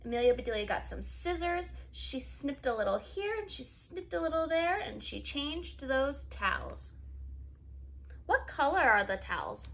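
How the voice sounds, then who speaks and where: A woman teacher with a high, very animated voice reads a children's story aloud. She keeps her phrasing simple and speaks straight to her young listeners, checking in with questions. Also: unhurried, poor audio. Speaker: life skills teacher.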